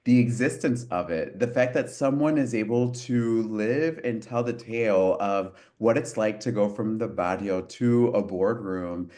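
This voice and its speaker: Earnest low-voiced man from Puerto Rico. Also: a little mellow. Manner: earnest, heartfelt, halting restarts. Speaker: podcast co-host. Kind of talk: casual podcast conversation.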